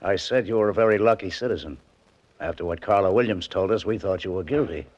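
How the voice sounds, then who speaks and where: A no-nonsense homicide lieutenant in 1940s radio style. The voice is low and gruff, with the dull sound of a vintage broadcast. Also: male voice, fast, darkish tone. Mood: gruff, advising. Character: gruff, matter-of-fact male police homicide lieutenant.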